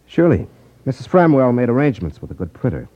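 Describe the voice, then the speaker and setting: An actor playing a shrewd, unflappable detective, wry and self-assured, in a 1950s radio drama. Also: male voice, medium pitch, big pitch swings, fast talker. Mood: confident explaining. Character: shrewd, unflappable male detective, coolly needling a crook. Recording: muffled vintage 1950s radio recording.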